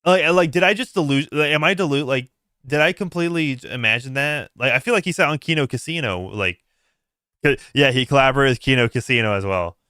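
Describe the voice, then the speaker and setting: A man hosting a casual livestream, reacting aloud in a halting way, repeating words and breaking off mid-sentence. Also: medium pitch, sharp tone, clear, resonant tone, no echo, studio quality. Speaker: livestream host. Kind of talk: casual reaction stream commentary.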